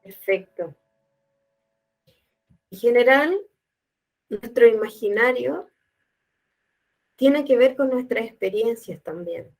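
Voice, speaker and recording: A woman giving a calm, explanatory educational talk to teachers and addressing viewers directly. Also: on the high side, slow pace, long pauses, soft background music, poor audio. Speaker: teacher trainer. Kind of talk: educational presentation.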